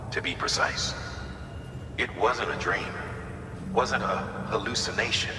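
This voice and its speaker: Actor as authoritative male commander, deep-toned, deliberate briefing, game cutscene, reverberant hall, music behind. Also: noisy background, lightly acted. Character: commanding, measured male leader briefing an agent. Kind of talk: cinematic video game acting.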